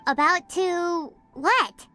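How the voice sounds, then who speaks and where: A woman's voice: very high voice, very slow, sharp tone, soft background music, exaggerated voice. A woman voicing a tiny, childlike, cheeky fairy companion in an anime-style video game, perky and exclamatory. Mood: puzzled.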